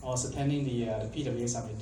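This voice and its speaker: A man's voice: mid-range voice, steady tone, very fast, mellow. Malaysian-born man speaking in an echoey hall. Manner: chatty, filler-heavy, asks the audience questions. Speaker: tech conference speaker. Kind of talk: casual conference talk. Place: conference hall. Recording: echoey hall, mic at a distance, faint background noise.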